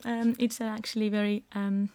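A woman's voice: mid-range voice, flat delivery, mellow. Hesitant Turkish-accented woman. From Turkey. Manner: hesitant, many pauses and fillers, laughs easily. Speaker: guest singer. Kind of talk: radio interview.

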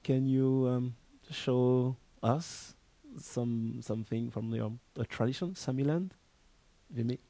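A man's voice: medium pitch, slow, dark tone, no room sound. A man from France with a French accent, a vocal artist introducing himself and his music in hesitant English. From France, family from Martinique.

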